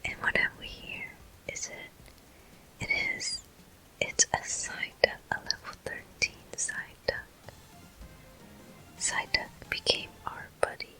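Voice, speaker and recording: A woman hosting a gameplay video in ASMR style, murmuring softly and gently as she comments. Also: rough recording. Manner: soft, gentle, unhurried murmuring. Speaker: gameplay host.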